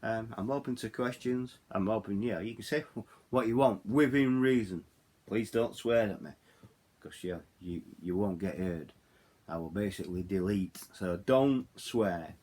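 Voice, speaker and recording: A middle-aged or older man with a Yorkshire accent gives a casual, unscripted product review, haltingly, often repeating words and phrases. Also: lowish voice, a little mellow. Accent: Yorkshire English. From Yorkshire, England. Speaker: hobbyist video host reviewing radios.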